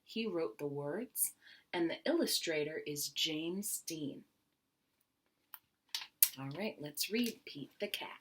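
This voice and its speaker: A woman reading a children's picture book aloud expressively, stretching key words and dropping into quieter asides with questions for young listeners. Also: low-pitched, expressive, takes it slowly, long pauses, slight background noise.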